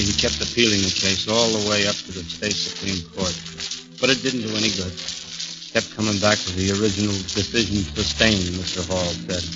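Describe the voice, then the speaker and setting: A man's voice: on the low side, very noisy, close to an everyday voice. An actor playing a haunted, plain-spoken American condemned man, narrating his ordeal in a 1940s radio drama. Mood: resigned. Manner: plain-spoken, brooding, confiding. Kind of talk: 1940s radio acting, first-person narration. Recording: dull 1940s radio recording, loud music behind.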